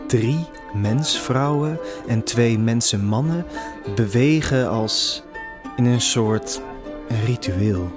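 A man's voice: medium pitch, lively intonation, takes it slowly. A man narrating an immersive audio drama in the second person, with a suspenseful storytelling manner. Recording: music behind the voice. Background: music.

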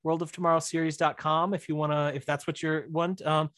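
A man's voice: a little high, flat delivery, a little fast, fairly bright tone, no room sound, studio quality. A man chatting casually as a podcast guest, informal and prone to repeating phrases for emphasis. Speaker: guest, writer-director.

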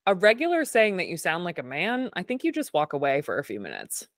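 A woman hosting a casual recap chat in a fairly low, masculine-leaning voice, dry and wry, laughing at her own jokes. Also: wildly swooping pitch, fairly quick, dry recording. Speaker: podcast host.

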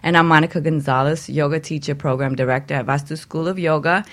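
A woman's voice: low voice, steady tone, fast. A woman co-hosting a radio talk show, upbeat and giggly as she introduces herself as a yoga teacher. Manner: upbeat, giggly, reads out contact details.

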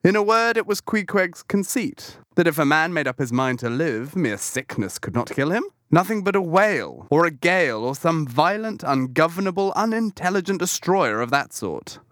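A man's voice: fairly high pitch, big pitch swings, moderate pace, few pauses, a bit bright, no echo, clean studio sound. A man narrating a literary audiobook in a dramatic storytelling style.

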